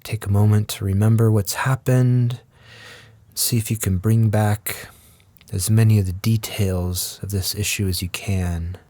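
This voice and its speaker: A man guides a meditation in a low, very unhurried voice. His delivery is gentle and measured, leaving long pauses between instructions. The sound is close and dry, with no room echo. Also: fairly even intonation.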